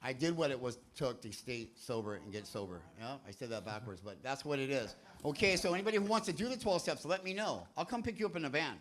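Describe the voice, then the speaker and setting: A man hosting a recovery meeting from a church stage, talking to the crowd in a loose, rambling, jokey way. He swings between quiet, low asides and loud, rousing calls. His delivery leans toward a sermon. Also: sing-song, quick pace, few pauses. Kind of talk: energetic sermon-like talk to a crowd. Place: church hall, speaking from a stage.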